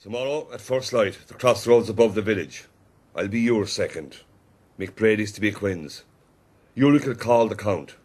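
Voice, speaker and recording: Man playing a low-voiced, wryly amused army captain. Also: normal speed, a little mellow, lightly acted. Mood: brisk approval. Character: amused, easygoing army captain, seasoned mediator in quarrels. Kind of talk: period radio drama acting.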